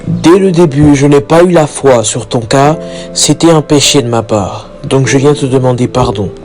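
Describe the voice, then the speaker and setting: A man's voice: medium pitch, moderate pace, natural voice. Man acting earnest devout father over music. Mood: remorseful. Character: devout, protective, remorseful father of a grown daughter. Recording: background music under the voice.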